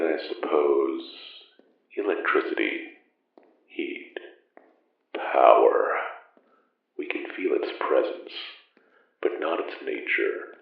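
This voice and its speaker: An actor playing an ancient, solemn, secretive magic-wielder and mentor in an audio drama, speaking in formal, archaic, measured phrases. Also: male voice, extremely low pitch, gravelly, full character voice. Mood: solemn, urging. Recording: muffled narrow band, echoey, distant.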